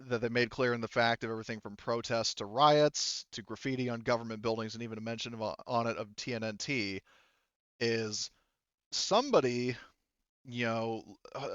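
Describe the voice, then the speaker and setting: A man narrating a tabletop game as game master in a casual, halting, rambling and sometimes profane way. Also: medium pitch, fairly bright tone, clear, resonant tone, no echo, muffled recording.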